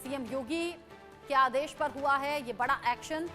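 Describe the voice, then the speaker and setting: Female TV news anchor, high voice, emphatic live delivery, faint music underneath. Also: a little slow, bright, forceful tone. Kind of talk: live TV news reporting and questioning.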